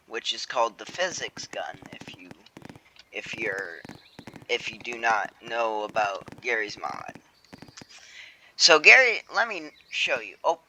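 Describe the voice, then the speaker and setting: A young man talks through his own game in a loose, rambling way, full of fillers and restarts. His delivery is slow and unhurried. The voice has a bright, forward edge, with a faint home background noise under it. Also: medium pitch, low-quality recording. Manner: rambling, many fillers and self-corrections. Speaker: game creator showing his game. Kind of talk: casual screen-recorded game walkthrough. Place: home room.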